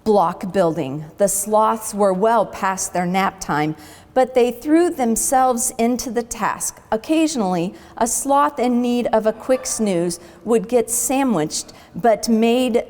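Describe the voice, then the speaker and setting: A woman storyteller reading a children's book aloud expressively, with dramatic pauses between phrases. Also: mid-range voice, expressive, darkish tone, in a large hall.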